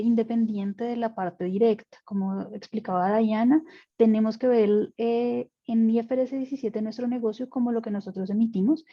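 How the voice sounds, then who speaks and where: A woman's voice: medium pitch, warm tone, highs cut off. A woman panelist giving a technical explanation on an online webinar, talking things through with fillers and self-corrections. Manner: explanatory, with frequent fillers and restarts. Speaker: panelist, insurance expert.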